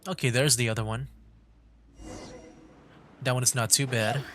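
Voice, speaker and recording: A man's voice: medium pitch, steady tone, normal speed, a bit bright, slight background noise. A man giving casual livestream gameplay commentary, thinking aloud in an easygoing way as game music plays behind him. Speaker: game streamer.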